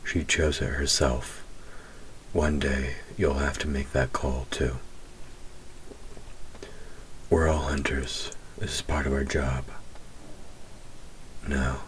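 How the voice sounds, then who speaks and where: A man playing a wry demon hunter who is himself a demon, teasing yet protective, speaking intimately and with measured sarcasm in an audio roleplay. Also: extremely low pitch, flat delivery, slight background noise. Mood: gentle, firm. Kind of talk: scripted audio roleplay spoken to the listener.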